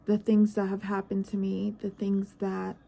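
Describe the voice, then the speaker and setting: Low-key, gravelly nineteen-year-old woman musing. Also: completely flat delivery, moderate pace, dark tone. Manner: searching, hesitant, trails off into questions. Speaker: vlogger. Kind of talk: reflective personal monologue.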